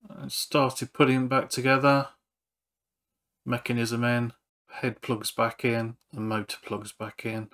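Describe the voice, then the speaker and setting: Low-key man with slow, flat delivery. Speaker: repair channel presenter. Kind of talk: hands-on repair walkthrough narration.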